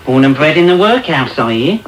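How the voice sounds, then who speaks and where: An actor playing a cocky, cruel teenage apprentice, taunting mock-pompously in a radio play. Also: male voice, on the high side, sing-song, fairly quick. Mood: bullying. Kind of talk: British radio drama acting. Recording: noisy, crackly medium-wave radio tape.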